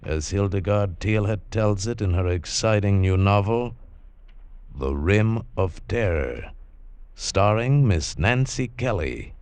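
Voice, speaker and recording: Male announcer for a vintage radio thriller, very deep and unhurried. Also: animated, a little mellow, slight background noise. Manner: solemn and deliberate.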